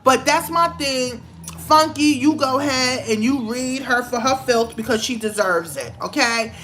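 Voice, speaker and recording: A woman's voice: high voice, unhurried, fairly bright tone. A woman giving blunt, scolding celebrity gossip commentary in a vlog, with emphatic outbursts. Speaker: vlogger.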